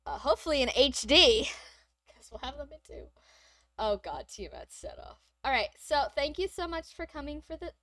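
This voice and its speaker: Hoarse, giggly woman streamer. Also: high-pitched, animated, normal speed, a bit bright, dry recording, studio quality. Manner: giggly, chatty, rambling. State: nearly lost voice, sore throat. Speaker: streamer co-host. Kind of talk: casual stream chat reviewing games.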